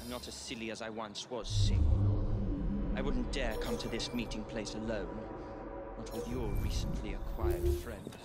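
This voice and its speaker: An actor playing a contemptuous, menacing British imperial villain in a game cutscene, sneering and theatrical. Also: male voice, lowish voice, fairly even intonation, moderate pace, over loud music, lightly acted. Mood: taunting. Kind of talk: video game cutscene acting.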